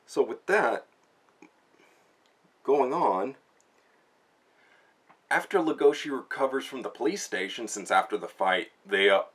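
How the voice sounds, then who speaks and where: A man's voice: medium pitch, unhurried, pauses often, a bit bright, husky. A man giving a casual spoken review, retelling a story in informal, slangy language. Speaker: manga reviewer, host. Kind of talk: casual spoken book review with plot recap.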